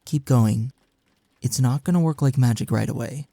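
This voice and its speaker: A man playing a caring, frank young best friend in an intimate comfort audio roleplay, speaking in a soft, earnest, reassuring way. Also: mid-range voice, moderate pace, no room sound, natural voice. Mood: soothing, reassuring.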